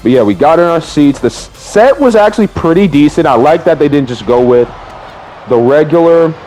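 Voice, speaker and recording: A slightly hoarse man recapping his day in a casual vlog, excitable and sprinkling his talk with drawn-out exclamations. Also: on the high side, faint music behind, rough recording. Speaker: vlogger.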